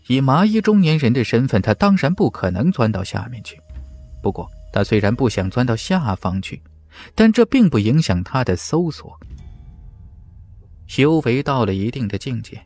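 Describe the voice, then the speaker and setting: A man's voice: medium pitch, darkish tone. A man reading a fantasy novel as dramatic scripted narration, with theatrical, emphatic storytelling. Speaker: audiobook narrator. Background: background music.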